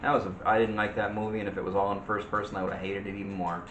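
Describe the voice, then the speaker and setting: A man co-hosting a casual movie chat, giving opinions in a halting, rambling, repetitive way. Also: lowish voice, flat delivery, fairly bright tone. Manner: halting, rambling, repeats himself. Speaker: co-host of a movie discussion show. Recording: roomy echo with faint background noise.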